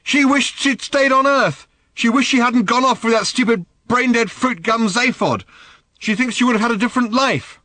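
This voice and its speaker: A man's voice: sing-song, moderate pace, no echo, close to an everyday voice. A man voicing a furious, shrieking teenage girl. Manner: shouting, shrill, furious outbursts. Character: volatile teenage girl, angry and hurt. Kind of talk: audiobook reading.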